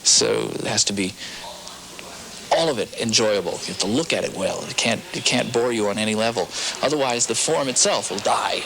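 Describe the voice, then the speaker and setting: Guy with a low gravelly voice talking it through slowly, stops and restarts a lot, noisy tape behind him. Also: expressive, a bit bright. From United States. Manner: halting, thoughtful, restarts mid-sentence. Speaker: opera singer interviewed. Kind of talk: reflective interview answers. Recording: noisy documentary interview audio.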